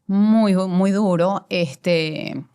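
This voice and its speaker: Venezuelan woman, low voice, reflective. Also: extremely slow, vocal fry, dark tone, clean tone. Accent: Venezuelan Spanish. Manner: reflective, expansive, many fillers and repeats. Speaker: guest, commercial director. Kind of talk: conversational interview.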